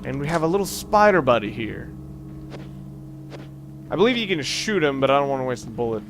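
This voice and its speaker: A man hosting a let's play, commentating casually on a video game in a rambling, halting way with dry joking asides. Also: medium pitch, big pitch swings, background noise. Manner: rambling, halting self-corrections. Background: video game music.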